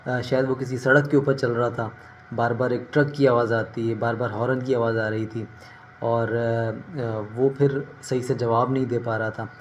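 A man's voice: medium pitch, little pitch movement, normal speed. Middle-aged man, noisy background. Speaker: career advice vlogger. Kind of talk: informal advice to camera. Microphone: phone at arm's length. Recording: noticeable ambient background noise.

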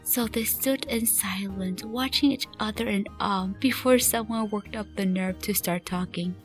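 A woman's voice: medium pitch, unhurried. A woman reading scripted story narration as the narrator of a fan radio play. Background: music.

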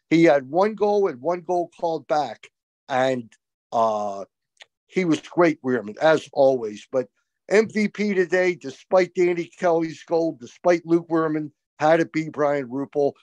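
An older man talks about a game with emphatic conviction and animated excitement. His voice sits a little high and sounds dry and close. He is recorded through a webcam on a video call from a small room. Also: no echo. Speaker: co-host, college lacrosse analyst. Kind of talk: excited postgame sports talk.

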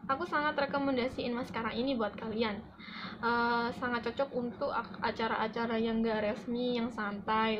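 A young adult woman giving a casual, chatty product review to camera as a beauty vlogger. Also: on the high side. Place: small room indoors. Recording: dull lo-fi audio with loud background noise.

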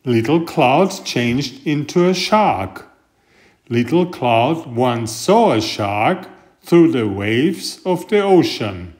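A man reading a children's picture book aloud in a deliberate, expressive storytelling manner. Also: medium pitch. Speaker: storybook reader and author.

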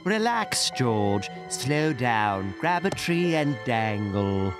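A man's voice: medium pitch, big pitch swings, slow pace. A man voicing an articulate, exasperated ape mentor in a cartoon, lecturing in a dry, erudite and patient manner. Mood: dry, evasive. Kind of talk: animated cartoon acting. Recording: music clearly behind.

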